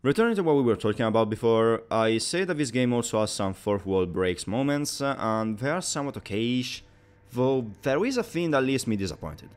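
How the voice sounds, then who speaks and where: A man's voice: medium pitch, lively intonation, fairly quick, slight background noise. A man narrating a scripted video game review in an emphatic, opinionated way.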